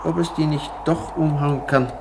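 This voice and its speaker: An actor playing an anxious cable-car worker who trusts in calculations, in a German radio play. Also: male voice, on the high side, steady tone, normal speed, gravelly, noisy background. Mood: uneasy, insistent.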